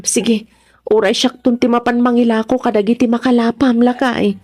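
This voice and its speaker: A worried Filipino wife and mother in a radio drama, played by an actress, with a fairly high voice. She sounds like a hardworking parent holding a struggling family together. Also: little pitch movement, normal speed, over music, close to an everyday voice. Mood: caring, instructing.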